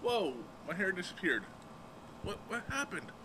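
Raspy man vlogging outdoors on the move. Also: sits high, very animated, measured pace, background noise. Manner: rambling, self-deprecating, walking while talking. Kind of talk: casual daily vlog. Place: outdoors by a road and parking lots. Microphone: handheld camera at arm's length.

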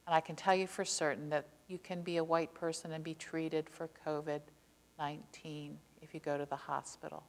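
An older woman preaches an impassioned sermon from a church pulpit, her voice sitting on the low side. She leans hard into key words, slowing down to drive them home. A long sanctuary reverb trails behind her through a clip-on mic. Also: level tone. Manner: earnest, impassioned preaching with slow emphatic stresses. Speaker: pastor.

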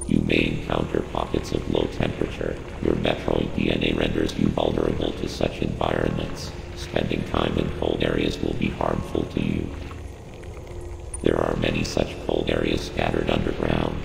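A video game's artificial intelligence with a rock-bottom voice and a dead-flat delivery. He gives clipped, formal, analytical advice with complete calm, ringing in a long reverb. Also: rumbling low, normal speed, creaky, noisy background, exaggerated voice. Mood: calm, detached, advisory. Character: calm male ship computer AI advising a bounty hunter. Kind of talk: scripted video game briefing. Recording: echoey long reverb under music.

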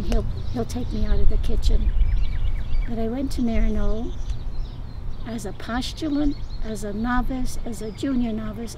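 A woman in her eighties tells her life story with a wry, lively humor. She sits on a garden bench outdoors. Birds and open-air ambience sound clearly behind her. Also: mid-range voice, noisy background. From New York. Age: 80s. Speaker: retired nun and doctor. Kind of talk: documentary interview.

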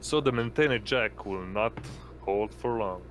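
Slow-talking low-voiced man running a game. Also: soft background music. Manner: halting, searching for words. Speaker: game master. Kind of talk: narrating a tabletop roleplaying game.

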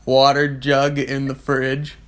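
A man's voice: mid-range voice, completely flat delivery, takes it slowly, fairly bright tone, slight background noise. A young man in a small bedroom, answering questions about his fiancée in a joking, easygoing way, picked up by a camera on a tripod. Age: young adult. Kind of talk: casual couple chat.

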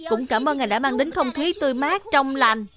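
A woman's voice: high voice, a little fast, a bit bright. A woman voicing an earnest, curious and polite little boy in a children's cartoon, with a childlike manner. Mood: eager. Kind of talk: dubbed children's cartoon acting. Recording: music behind the voice.

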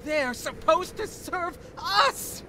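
An actor playing an arrogant, hate-filled young noble mage in a fantasy game, haughty and sneering. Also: male voice, very high voice, lively intonation, takes it slowly, bright, forceful tone, a little hiss or hum, exaggerated voice. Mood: haughty indignation. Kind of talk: dramatic fantasy game voice acting.

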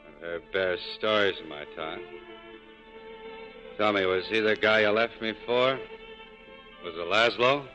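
Tough, world-weary American saloon keeper, low but hard-edged, 1940s radio play over faint music. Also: male voice, a little slow, long pauses, pushed and bright, muffled recording. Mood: bitter. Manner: sardonic, clipped, world-weary. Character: bitter, wounded American cafe owner, sardonic and tough. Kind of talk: 1940s radio drama acting.

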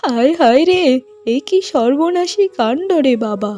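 A woman's voice: sits high, lively intonation, measured pace, dark tone. A woman playing a nagging, melodramatic middle-aged village mother-in-law in a cartoon, speaking. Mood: angry nagging. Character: domineering, scolding village mother-in-law, manipulative. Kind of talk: cartoon voice acting. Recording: music behind the voice.

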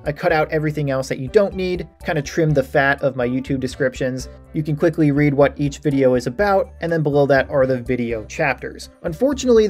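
A man's voice: mid-range voice, darkish tone. Man giving a casual tutorial over music. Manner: informal, explanatory. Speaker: math tutorial creator giving a site tour. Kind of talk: casual screen-share walkthrough. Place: voice-over for a screen recording.

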